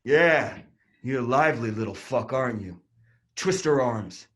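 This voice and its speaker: A man's voice: mid-range voice, expressive, unhurried, fairly bright tone. A man playing a brutal, sneering riot cop. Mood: aggressive. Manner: vulgar, barking orders, taunting. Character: brutal, sneering male riot police officer.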